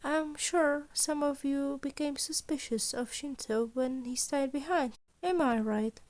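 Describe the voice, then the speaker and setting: A woman voicing a calm, scheming teenage boy acting as principal, speaking confidently in a lecturing way. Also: high voice, measured pace.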